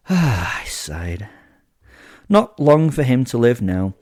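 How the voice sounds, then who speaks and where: A man's voice: medium pitch, big pitch swings, very slow, darkish tone, dry recording, clean studio sound. A man voicing a sadistic, gleeful werewolf killer who tells horror audio drama in the first person, with flippant, theatrical asides. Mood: gloating, matter-of-fact. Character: sadistic, gleeful male werewolf serial killer narrating his kills.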